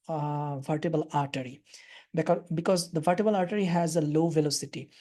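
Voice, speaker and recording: A woman with a Bangladeshi accent explains things through a computer mic on a live webinar. Her voice sits a little low and sounds mellow and soft, and she pauses often with fillers as she works through each answer. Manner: hesitant, many fillers. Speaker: radiology lecturer.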